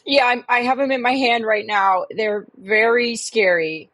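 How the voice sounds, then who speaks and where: A woman acting out worried female caller, highish breathy voice, prudish, suspicious, earnest, hesitant, comic radio show. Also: a bit bright, dry recording. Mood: embarrassed, alarmed. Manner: hesitant, earnest, dramatic pauses.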